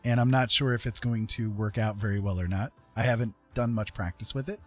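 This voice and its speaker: Man, livestream host, slightly low pitch, rough husky tone, rambling casual chat, music in background. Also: brisk. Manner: rambling, conversational. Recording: faint music behind the voice.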